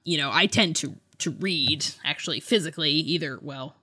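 A woman's voice: low voice, normal speed, pushed and bright, breathy edge. A woman co-hosting a casual chat about books, often giggling as she talks. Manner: giggly, laughs while talking. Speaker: podcast co-host. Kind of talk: casual book-review chat.

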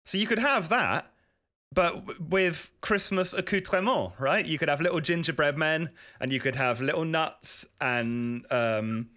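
A man's voice: fairly high pitch, measured pace, sharp tone. A young man co-hosting a podcast, rambling playfully with lots of fillers in casual chat. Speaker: podcast co-host.

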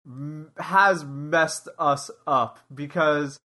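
A man's voice: a little high, steady tone, drawn-out words, bright, forceful tone. A man in his late twenties co-hosting a podcast, talking casually and rambling with many restarts and fillers. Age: 20s. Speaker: podcast co-host. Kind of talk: casual chat.

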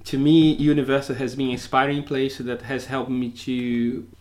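A man's voice: medium pitch, little pitch movement, a little mellow. Brazilian-born man, English, interview guest; reflective, hesitant delivery with frequent self-corrections. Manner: thoughtful, halting, many restarts. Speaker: guest, co-living entrepreneur. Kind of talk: conversational interview answers.

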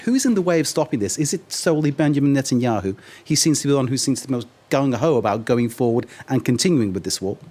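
Measured male news anchor, mid-pitched. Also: lively intonation, mellow. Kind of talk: broadcast interview.

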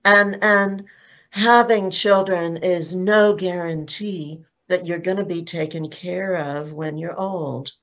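An older woman speaking as a panelist in a casual online discussion, slow and thoughtful, often restarting her sentences. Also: lowish voice, steady tone, a bit bright. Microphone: video call.

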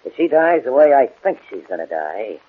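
An actor playing an eager, scheming client of a crook, earnest and insistent, in 1940s radio crime style. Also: male voice, medium pitch, very animated, normal speed, husky. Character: scheming, eager nephew seeking a murder alibi. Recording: muffled, scratchy 1940s radio recording.

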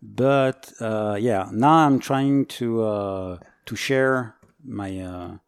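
A man in his forties from France with a French accent, a firefighter guest talking casually, halting and searching for words. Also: fairly low pitch, creaky, clear, resonant tone, no echo, clean studio sound. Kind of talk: casual interview chat.

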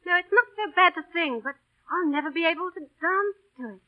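A woman's voice: very high-pitched, fairly quick, narrow, tinny audio. A woman playing a playful young musical stage star in an old radio drama. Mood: teasing. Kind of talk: 1930s-40s radio drama acting.

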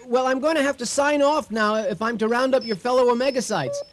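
A man's voice: very high voice, fairly quick. An actor playing a mild, courteous earthman in a comic radio drama, soothing and a little hesitant. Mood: reassuring. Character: mild, obliging, reassuring earthman in contact with aliens. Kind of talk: comic radio theater acting.

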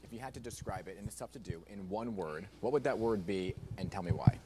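A man working as a TV interviewer, asking a smooth, scripted question in a broadcast interview. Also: lowish voice, quick pace. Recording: noisy TV clip heard off a speaker.

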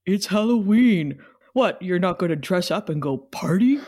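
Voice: mid-range voice, animated, moderate pace, darkish tone, breathy edge. A performer playing an earnest, kindly young male teaching assistant in a comedic table read, mildly teasing. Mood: encouraging.

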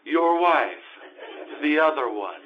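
An actor playing a fussy, exasperated, nosy hotel manager with a theatrical, wisecracking delivery in stage radio comedy style. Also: male voice, a little high, lively intonation, slow. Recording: muffled live stage recording, audience present.

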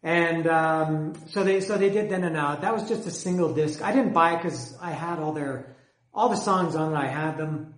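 A man's voice: a little high, moderate pace, roomy sound. Rambling man with a fading voice. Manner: rambling, hesitant, repeats words. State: losing his voice. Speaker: music collector reviewing albums. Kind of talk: casual solo talk about his collection.

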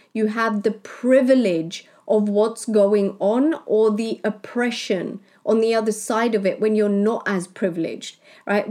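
Slow, deliberate woman healer. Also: mid-range voice, a little mellow. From Kenya. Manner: slow, deliberate, with tag questions. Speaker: spiritual healer. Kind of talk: reflective monologue.

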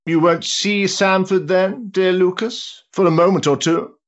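An actor playing a worldly older duke, an amused, teasing schemer with an urbane, roguish manner in a period stage drama. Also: male voice, high-pitched, a little slow, lo-fi sound. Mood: suave. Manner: urbane, roguish, patronising flattery with chuckles.

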